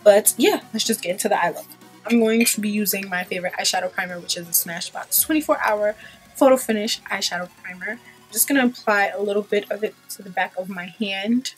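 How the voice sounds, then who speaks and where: A woman's voice: medium pitch, poor audio. Stuffy-nosed woman chatting through a makeup tutorial. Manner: chatty, loose, peppered with ums and likes. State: stuffy from a cold. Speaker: beauty vlogger. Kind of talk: casual makeup tutorial chat. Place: small room in front of a pink backdrop.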